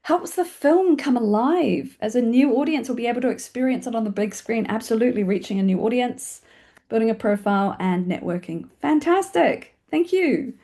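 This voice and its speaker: Kiwi woman running an online panel, talks fast, chatty and casual, pokes fun at herself. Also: a little high, expressive, mellow. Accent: New Zealand. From New Zealand. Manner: fast-talking, chatty, self-deprecating, questioning okay check-ins. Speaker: webinar host and film community organiser. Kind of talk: informal spoken webinar presentation. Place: online video call.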